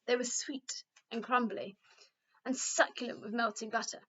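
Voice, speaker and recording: A woman reads a children's book aloud like a teacher to her class. Her high, bright voice moves up and down expressively, and the recording is a little dull. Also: steady tone, moderate pace, slightly rough. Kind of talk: expressive children's storybook reading.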